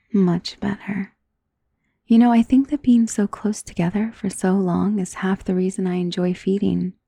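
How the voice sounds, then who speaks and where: Casting: woman to play elegant female vampire for ASMR roleplay. Mellow, breathy, coaxing and possessive, often whispering close to the mic. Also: mid-range voice, no echo. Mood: intimate, inviting. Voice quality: breathy, soft. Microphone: close studio mic.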